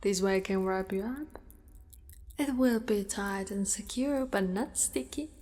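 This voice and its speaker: A woman voicing a possessive, doting female spider monster in an ASMR roleplay, with formal wording and a hushed delivery that often slips into whispers. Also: medium pitch, measured pace, natural voice. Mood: calm, matter-of-fact. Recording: long reverberant echo.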